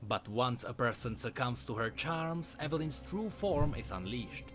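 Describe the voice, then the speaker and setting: A man's voice: mid-range voice, expressive, normal speed, fairly bright tone, soft background music. A man reading scripted fantasy lore narration in a dramatic storytelling way. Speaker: narrator of a game lore video.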